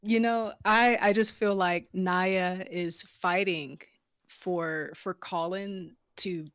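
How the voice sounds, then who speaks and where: A woman's voice: fairly low pitch, steady tone, takes it slowly, a bit bright, smooth, no room sound, studio quality. A woman who works as a voice actor, talking casually as a guest in an after-show chat.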